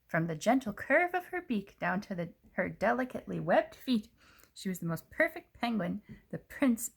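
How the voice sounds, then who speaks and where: Female children's storyteller: expressive read-aloud, very lively pitch, touches of vocal fry. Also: moderate pace. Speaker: storyteller reading a picture book.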